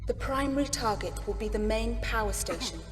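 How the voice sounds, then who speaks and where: A woman's voice: on the high side, a little fast, natural voice. A woman playing a resolute new force commander, giving a formal, composed military briefing in a game cutscene. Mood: businesslike, firm. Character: resolute female investigator turned force commander. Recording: long hall reverb. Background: music.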